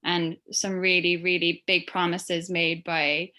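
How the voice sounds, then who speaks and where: Bright-voiced Irish woman, chatty. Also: on the low side, flat delivery, takes it slowly. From Ireland. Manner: chatty, rambling with many fillers. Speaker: panel guest, creative. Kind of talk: video-call panel discussion.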